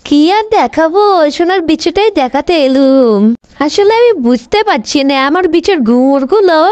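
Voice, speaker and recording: A woman plays a showy female village neighbour in a comic cartoon. Her voice is extremely high and sing-song, affected and sweetly boastful, on a dull lo-fi track. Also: animated, normal speed, darkish tone, no echo.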